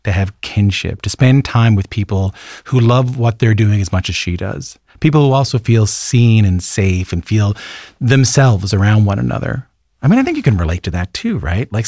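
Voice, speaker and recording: A man with a somewhat low voice hosts a studio interview. He talks in a friendly, conversational way, dropping in quick jokes and asides. The sound is close and dry. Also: a little mellow, dry recording. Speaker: radio host.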